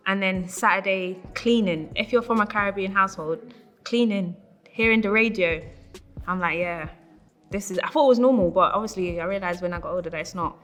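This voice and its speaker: A woman's voice: mid-range voice, brisk, a bit bright, faint music behind. A woman with a London accent, casually reminiscing about her life as an interviewee. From South London.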